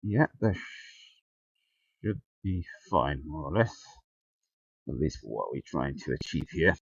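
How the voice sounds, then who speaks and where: A man giving a casual hands-on tutorial narration, halting and thinking aloud as he goes. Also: rumbling low, very animated, gravelly, husky, dry recording. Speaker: amateur radio video tutorial presenter. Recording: dull, lo-fi close sound.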